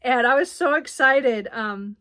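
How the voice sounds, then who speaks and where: Woman w a high voice doing casual book talk, giggly n gushy, kinda rambles. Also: unhurried, sharp tone. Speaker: book reviewer and vlog host.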